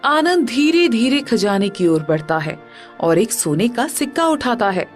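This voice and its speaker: Lively female story narrator. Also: fairly high pitch, a little slow, faint music behind. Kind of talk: scripted moral story narration.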